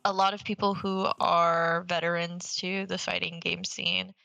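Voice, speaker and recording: Bright, low-pitched woman, blunt and sweary. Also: flat delivery, a little slow, vocal fry, no echo, rough recording. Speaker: podcast co-host. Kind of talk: casual gaming podcast chat.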